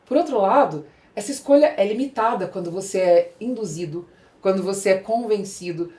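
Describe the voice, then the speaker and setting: Mellow Brazilian woman in an echoey room. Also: mid-range voice, a little fast. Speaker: podcast host and interviewer. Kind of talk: conversational podcast interview.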